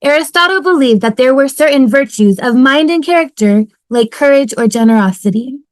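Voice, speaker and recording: Casting: woman as male philosophy professor in nihilistic meltdown, high register, dry close sound. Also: animated, fairly quick, dry recording. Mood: mock-lecturing. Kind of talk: fan table read of a TV sitcom. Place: home, on a video call.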